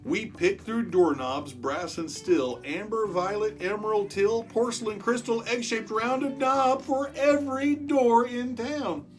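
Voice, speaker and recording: Children's read-aloud narrator, medium pitch, unhurried rhyming delivery, very expressive, guitar music behind. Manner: expressive, stretches words for emphasis, chats with child listeners. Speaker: storyteller reading a picture book aloud to children.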